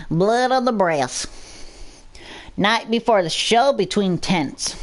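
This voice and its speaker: Slow-paced storytelling channel host. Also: mid-range voice. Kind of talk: reading a scripted story aloud.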